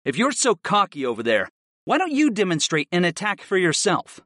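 A man's voice: high-pitched, wildly swooping pitch, normal speed, fairly bright tone, no echo, studio quality, voice pushed up, exaggerated voice. A man voicing a firm but caring professor of summoning in an audiobook, speaking instructively. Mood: stern. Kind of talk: audiobook character acting.

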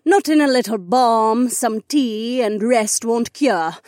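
A woman voicing a gentle but matter-of-fact bat-woman healer in an audiobook, cooing and unhurried. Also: fairly high pitch, dry recording, studio quality. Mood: soothing. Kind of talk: audiobook character acting.

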